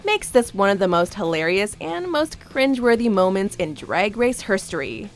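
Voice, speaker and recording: A woman's voice: mid-range voice, sing-song, moderate pace, soft background music. A woman reading a scripted voice-over for a countdown video, with an upbeat, punchy delivery.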